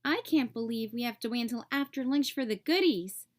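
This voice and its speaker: A woman's voice: fairly high pitch, a little fast, lightly acted. A woman voicing a friendly, easygoing young bear schoolfriend in a storybook, childlike and good-natured. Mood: impatient, eager.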